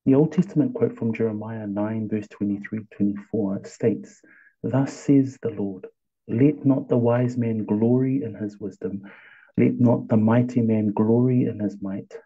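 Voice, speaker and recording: A Samoan man with a Samoan accent, a pastor giving a devotional sermon and reading scripture slowly and deliberately. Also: lowish voice, fairly even intonation, warm tone, breathy edge, dull audio.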